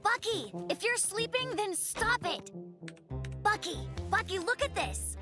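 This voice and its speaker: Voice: extremely high pitch, big pitch swings, a little slow, full character voice. An actor voicing a sensible, friendly young male polar bear cub in a children's cartoon, childlike and matter-of-fact. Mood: curious, eager. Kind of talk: children's animated cartoon acting. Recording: music clearly behind the voice.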